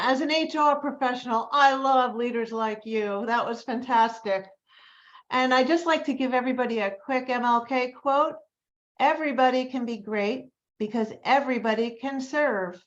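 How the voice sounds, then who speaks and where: An upbeat woman hosts an online meeting and introduces each speaker with enthusiasm. Her voice sits a bit high, and the call audio sounds dull and lo-fi. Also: fairly bright tone. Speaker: meeting host. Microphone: video call.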